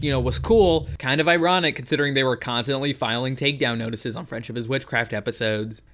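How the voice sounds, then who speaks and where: A man's voice: mid-range voice, a bit bright. A man hosting a casual video essay, talking in a sardonic, joking way and swearing freely.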